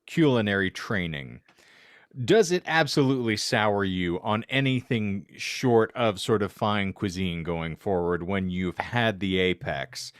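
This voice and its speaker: Male podcast host, low pitch, dry sound, formal wordy phrasing, casual panel chat. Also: expressive, a little slow, smooth, dry recording.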